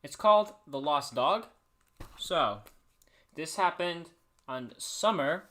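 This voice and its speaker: A man's voice: fairly high pitch, lively intonation, takes it slowly, bright, forceful tone. A man hosting a livestream, telling stories casually in a rambling way, often restarting and repeating his phrases. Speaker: livestream host. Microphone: streaming microphone he adjusts by hand.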